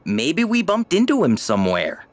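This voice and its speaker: A man playing an eager, chatty, curious teenage boy sleuth in an animated video game. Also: on the high side, moderate pace, soft background music. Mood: musing.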